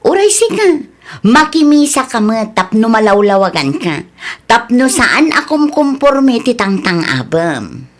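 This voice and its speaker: An actress as a scolding village woman in a radio comedy drama. Her voice is high and swings widely in pitch. She exclaims and challenges with indignation. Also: sing-song, measured pace. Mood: indignant scolding.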